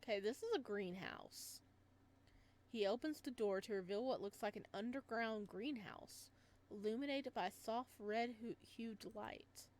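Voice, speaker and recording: A woman reading a visual novel aloud, calm in the narration and quick to break into startled, animated reactions, with faint music behind her. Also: a little high.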